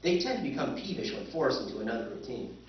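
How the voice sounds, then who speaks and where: A man's voice: mid-range voice, sing-song, fast, very noisy, natural voice. An actor playing a wry, skeptical planetary surveyor who tells his own tale in a staged old-time radio drama. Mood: wry narrating. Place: convention stage. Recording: echoey stage recording, distant and dull.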